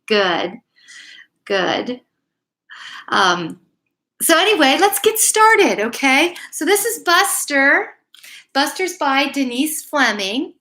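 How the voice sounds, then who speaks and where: Older lady, highish bright voice, chatting to little kids and reading storytime, encouraging. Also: big pitch swings, slow pace, pauses often. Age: middle-aged or older. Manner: encouraging, addresses young children directly. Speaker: elementary school principal or staff storyteller. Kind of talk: casual live chat and children's bedtime story read-aloud.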